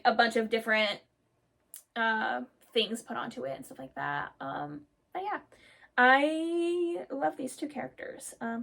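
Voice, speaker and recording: A woman with a high, bright voice talks casually to her viewers about the characters she made up. She gushes and gets excited, and she fills her pauses with lots of "um"s. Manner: gushing and excitable, many "um" fillers. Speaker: storyteller vlogger.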